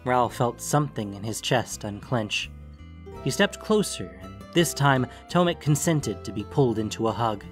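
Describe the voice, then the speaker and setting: A man's voice: mid-range voice, sing-song, normal speed. A man reading scripted fiction as an audiobook-style narrator. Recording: music bed under the voice. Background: guitar music.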